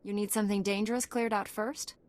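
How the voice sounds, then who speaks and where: An actress playing a calm, principled female knight in a video game, speaking in a measured, polite way. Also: mid-range voice, lightly acted. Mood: concerned. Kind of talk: scripted video game acting. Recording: long reverb, music underneath.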